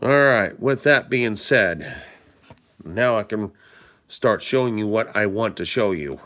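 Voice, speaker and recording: A man hosting a live stream, hoarse and stuffed up from a cold, talking casually in a rambling way and greeting viewers by name. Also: on the low side, expressive, slow, fairly bright tone. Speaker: livestream host.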